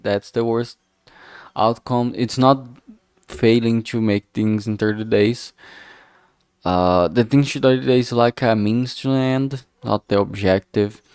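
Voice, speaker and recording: A man talking casually while working on a solo stream, halting and rambling, often trailing off mid-sentence and swearing freely. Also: fairly low pitch, a little mellow, clear, resonant tone, no room sound. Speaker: solo streamer developing a visual novel. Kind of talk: casual work-along stream monologue.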